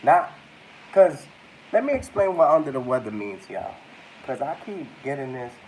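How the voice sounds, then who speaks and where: Young man, stuffy and a bit under the weather, mellow tone, loose rambling vlog chat, faint hiss. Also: mid-range voice, big pitch swings, normal speed, low-quality recording. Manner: rambling, addresses viewers as y'all. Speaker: vlogger. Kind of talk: casual talk to camera.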